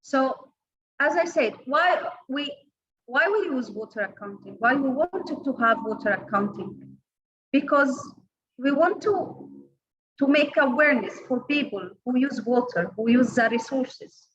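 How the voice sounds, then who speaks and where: A woman with an Egyptian Arabic accent giving an online training lecture, halting, with many fillers and restarts. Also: sits high, animated, a bit bright, lo-fi sound, a little hiss or hum. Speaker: workshop trainer. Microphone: online call audio.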